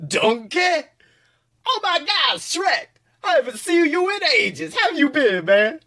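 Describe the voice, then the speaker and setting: Sassy talking donkey, way high voice, excitable and huffy, fan fiction reading. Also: male voice, takes it slowly, exaggerated voice. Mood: excited. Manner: exclamatory, indignant.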